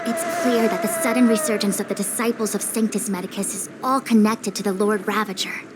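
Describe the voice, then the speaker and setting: A woman's voice: medium pitch, steady tone, brisk, very noisy, natural voice. An actress voicing a stern, commanding woman leader in a game, speaking formally and with authority. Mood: resolute. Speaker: game character. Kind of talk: game dialogue voice acting.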